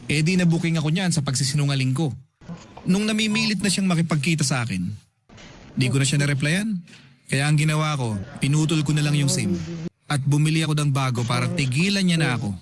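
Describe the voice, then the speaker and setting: A man's voice: a little high, fairly quick, soft background music, close to an everyday voice. A man playing a cheeky, nostalgic office buddy in a radio drama, chatting and joking with a Filipino accent. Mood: cheeky, confessing. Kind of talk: conversational radio drama acting.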